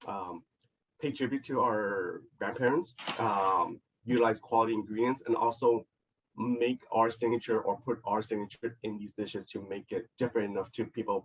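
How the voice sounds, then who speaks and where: Guy chatting casually in an interview, kinda husky lowish voice, sits back from the mic, lots of likes and you knows. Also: distant mic, rough recording. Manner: loose, filler-heavy talk with many "like" and "you know". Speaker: chef and restaurant co-owner.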